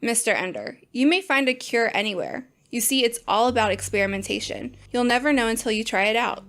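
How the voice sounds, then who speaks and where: A woman's voice: on the high side, expressive, fairly quick, a bit bright. A woman voicing an opinionated botany teacher who lectures bluntly and scoffs at textbooks. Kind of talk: audio drama acting.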